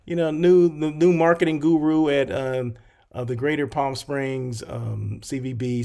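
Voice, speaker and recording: A man with a fairly high, gravelly voice hosts a loose live stream, drifting from one aside to the next. He sounds a little froggy and hoarse, and his talk is full of fillers. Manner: rambling, chatty, many fillers and asides. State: slightly hoarse, clearing throat. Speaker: hotel consultant hosting a live show. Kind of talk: casual livestream chat.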